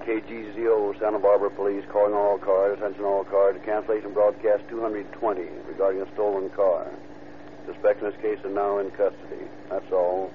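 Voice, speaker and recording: A man's voice: on the low side, flat delivery, fairly quick. Tinny American male police dispatcher. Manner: formal, clipped official announcing. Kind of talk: 1930s police radio bulletin. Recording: tinny police radio with faint noise.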